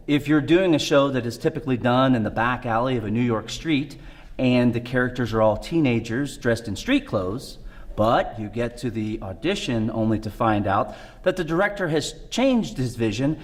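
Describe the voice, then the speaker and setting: A man presenting scripted instructional tips on acting. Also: medium pitch.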